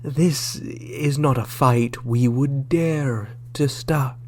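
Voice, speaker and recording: Man as reptilian alien diplomat, extremely slow, formal, halting, placating, sci-fi radio play, hum in the recording. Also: medium pitch. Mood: measured, placating. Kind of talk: sci-fi radio drama acting.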